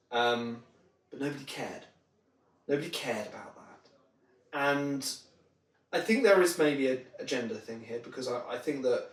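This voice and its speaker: A man speaks as an interview guest in a roomy space, sitting a little away from the microphone. His delivery is conversational and explanatory, built around examples, in a medium-pitched voice. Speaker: podcast guest, marketing trainer. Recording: roomy echo, distant microphone.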